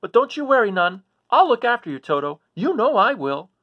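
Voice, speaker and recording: A man's voice: sits high, very animated, fairly quick, muffled recording, pitched above the performer's own voice. A man voicing a plucky, protective young farm girl who speaks emphatically in folksy country dialect, from a children's storybook. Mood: reassuring. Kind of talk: children's storybook read-aloud.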